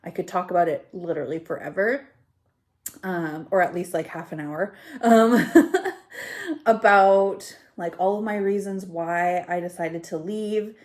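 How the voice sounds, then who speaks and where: A woman's voice: lowish voice, unhurried. A thirty-year-old woman vlogger chatting casually in her home kitchen, slightly congested, rambling with self-deprecating asides. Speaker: YouTube vlogger. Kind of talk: casual rambling chat to camera.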